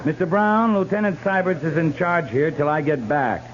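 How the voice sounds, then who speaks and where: Man acting as a steady cavalry captain, old noisy radio. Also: a little high, moderate pace, lightly acted. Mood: firm, reassuring. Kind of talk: 1950s radio western acting. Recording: dull, noisy 1950s radio recording.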